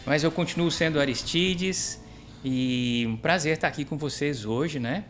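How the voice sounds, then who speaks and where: A man's voice: a little high, moderate pace, a bit bright, a little hiss or hum. A man from the interior of Minas Gerais with a mineiro accent, a pastor chatting as a guest and telling his story, often ending lines with "né?". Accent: Minas Gerais Brazilian Portuguese. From interior of Minas Gerais, Brazil. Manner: chatty storyteller, tags sentences with "né?". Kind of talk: casual chat interview.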